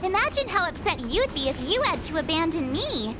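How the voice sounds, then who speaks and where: A tiny childlike game companion with an extremely high voice. The little one is chatty, cute and whiny in an informal way, over clearly audible music. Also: female voice, very animated, a little fast. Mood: curious, chatty. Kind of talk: video game voice acting. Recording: music under the voice.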